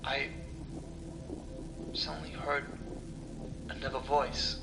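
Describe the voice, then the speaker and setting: A man narrating a first-person horror story dramatically, with halting delivery, pauses and breathy asides. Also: mid-range voice, little pitch movement. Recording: echoey sound with music underneath. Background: music.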